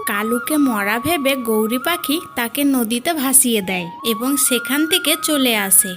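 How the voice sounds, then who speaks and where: A woman's voice: a little high, expressive, normal speed, over music. A woman reading scripted narration for a children's cartoon story.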